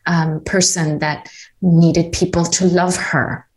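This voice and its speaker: Earnest, animated woman from Tanzania. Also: on the low side, slow pace. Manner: earnest, animated, many restarts and "you know". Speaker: guest. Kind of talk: personal story in a conversation.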